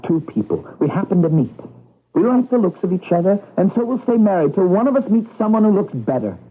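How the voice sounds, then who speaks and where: A man's voice: high voice, big pitch swings, slightly rough, phone-quality sound, heavily acted. An actor in a 1980s radio drama playing a restless, disillusioned young husband, hesitant and defensive in his talk. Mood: bitter, defensive. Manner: hesitant, defensive, with halting stammered starts. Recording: band-limited old radio broadcast, music underneath.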